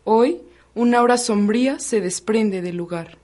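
A woman's voice: fairly high pitch, a little slow, heavy background noise. A young adult woman reading narration for a radio drama.

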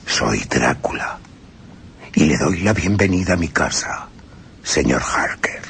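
Man doing a cold old vampire count, whispered, very deep, slow, formal, radio drama, music behind. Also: animated, vocal fry, a bit bright, slight background noise, heavily acted. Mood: menacing welcome. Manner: slow, low, whispered welcome.

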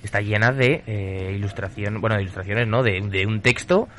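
A man's voice: lowish voice, moderate pace, a bit bright, a little hiss or hum. A man with an accent from Spain, working as a radio interviewer in a casual, chatty style.

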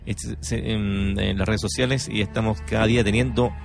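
A man's voice: lowish voice, fairly even intonation, moderate pace, vocal fry. A man hosting a casual radio chat, often stopping to search for his words. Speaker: radio host. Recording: music under the talk.

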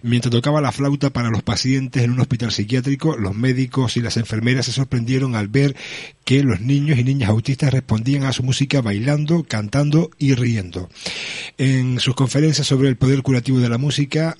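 A man co-presenting a radio show, reading prepared notes in a measured way. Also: medium pitch, a little mellow, breathy edge, no echo. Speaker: radio co-host.

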